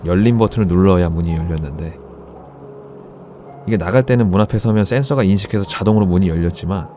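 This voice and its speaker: A man narrating a first-person horror story in a casual, conversational storytelling way, with deliberate phrasing. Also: low voice, warm tone, a little hiss or hum. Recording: background music under the voice. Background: music.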